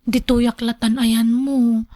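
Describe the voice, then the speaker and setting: Anxious young student for radio serial, woman actor, Filipino accent; high plaintive voice, dry sound, pleading delivery. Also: flat delivery, slow, dark tone, dry recording. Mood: reluctant, pleading. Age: young adult. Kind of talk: radio drama acting.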